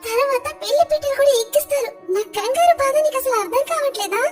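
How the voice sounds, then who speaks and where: A woman's voice: very high-pitched, quick pace. A woman playing an anxious, pleading young woman in a cartoon story. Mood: upset. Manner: fretful, pleading. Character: anxious, pleading young woman in love, facing arranged marriage. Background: music.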